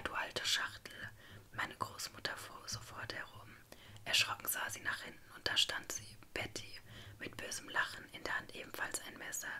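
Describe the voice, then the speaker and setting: Female narrator, whispered ASMR story reading, dramatic, very sharp and bright in tone.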